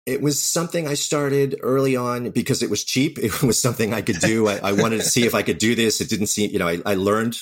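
A man's voice: lowish voice, brisk, few pauses. A man speaking casually as an interview guest about his creative work. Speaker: interview guest, screenwriter and producer. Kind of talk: casual interview chat about own career. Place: remote call from home.

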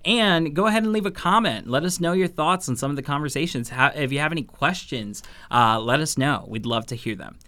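A man's voice: on the high side, brisk, few pauses, pushed and bright. A man hosting a podcast in a casual, conversational style. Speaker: podcast host.

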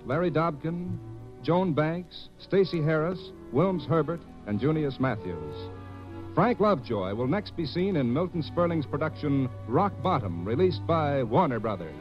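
An American man announcing closing credits in scripted 1950s radio style. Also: a little high. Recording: muffled old radio recording with music.

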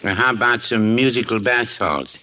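An actor playing a mild older shopkeeper in a 1950s radio comedy, gently teasing in a prim, deadpan, hemming manner. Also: male voice, medium pitch, moderate pace, close to an everyday voice, low-quality recording. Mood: helpful, prim.

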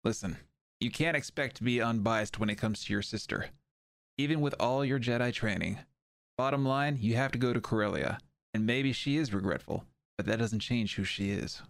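A man's voice: medium pitch, normal speed, creaky, dry recording, studio quality, natural voice. A man playing a lovelorn, supportive doctor in an audio drama, speaking casually and frankly. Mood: frank, wounded.

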